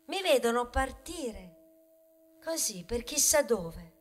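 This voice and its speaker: A woman's voice: a little high, sing-song, takes it slowly, husky, exaggerated voice. A woman playing a self-important, wounded talking grain of wheat in a theatrical monologue, confiding rhetorically in the audience. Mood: indignant, bitter. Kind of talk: stage monologue in a radio theatre broadcast.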